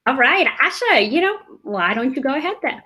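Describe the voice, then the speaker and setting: A woman's voice: high voice, sing-song, brisk, bright, forceful tone. A woman moderating a panel, upbeat and playful as she passes the turn to each speaker. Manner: upbeat and playful, handing over the turns.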